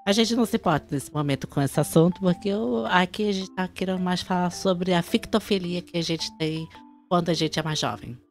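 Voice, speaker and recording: A woman's voice: lowish voice, a little fast. A young woman with a Brazilian accent hosting a casual explanatory chat, with music under her voice. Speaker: video host.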